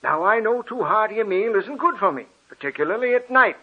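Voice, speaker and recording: An actor playing a genial older family doctor in a 1940s radio drama, avuncular and reassuring. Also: male voice, sits high, expressive, a little fast, breathy edge, full character voice. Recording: tinny, narrow old radio recording.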